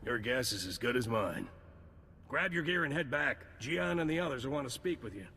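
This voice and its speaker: An actor playing a gruff male intelligence agent in a video game, curt and matter-of-fact. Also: medium pitch, animated, normal speed, bright, forceful tone, slight background noise. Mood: brisk. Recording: game audio with long reverb.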